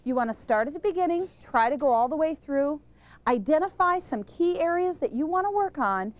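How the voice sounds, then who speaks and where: A woman giving an energetic lesson on public speaking to a live group, emphatic and quizzing the room. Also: high-pitched, a little fast. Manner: energetic, emphatic, asks questions of the audience. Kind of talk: instructional talk to a live group.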